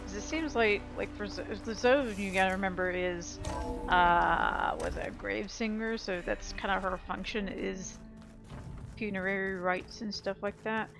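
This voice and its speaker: A woman's voice: medium pitch, fairly even intonation, a little slow, gravelly. A woman casually commenting while playing a video game, chatty and rambling with plenty of fillers. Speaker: let's play gamer. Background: game music.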